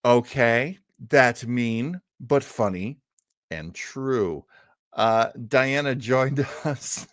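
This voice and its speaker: A man's voice: medium pitch, lively intonation, extremely slow, fairly bright tone, dry recording, studio quality. An older man hosting an old time radio show, chatting casually with live-stream listeners in a rambling, enthusiastic way.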